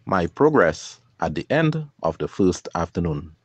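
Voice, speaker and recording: A middle-aged man narrates in a calm, measured, explanatory way. His low voice rises and falls a good deal from phrase to phrase, and the recording is close and dry with no room sound. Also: husky, no room sound. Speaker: kayaker and channel host. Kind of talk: scripted voice-over narration.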